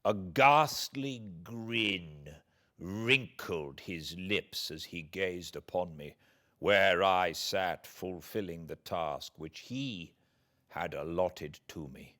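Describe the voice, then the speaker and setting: British guy narrating an old classic, really deep voice, slow and dramatic, theatrical storyteller delivery. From Britain. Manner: measured, theatrical delivery with hushed passages and swelling emphasis. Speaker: audiobook narrator reading aloud.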